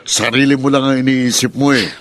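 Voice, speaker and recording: A man's voice: mid-range voice, steady tone, brisk, a bit bright, natural voice. An actor playing a sulky, needy, childish grown man in a Filipino radio drama. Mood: sulky, complaining.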